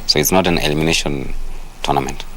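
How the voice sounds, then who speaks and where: A man with a measured manner, explaining tournament rules in an interview. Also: a bass voice, steady tone, a bit bright, slight background noise, low-quality recording.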